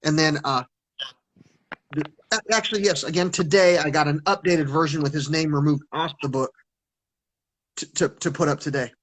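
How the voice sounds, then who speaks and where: A man's voice: a little high, moderate pace, pauses often, fairly bright tone, low-quality recording. A man talking casually as an interview guest on a video call, stuttering and restarting his sentences. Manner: stutters, many restarts and repeated words.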